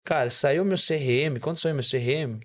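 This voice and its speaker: A man's voice: fairly high pitch, normal speed. A man whose first language is Spanish, speaking as a doctor guest on a casual podcast and explaining things with frequent self-corrections.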